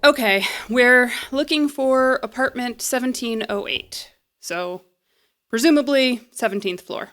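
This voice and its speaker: A woman's voice: on the high side, a little slow, a bit bright. A woman in an audio drama playing a stubborn, flippant, loyal repairperson with a casual, wry, defiant way of talking.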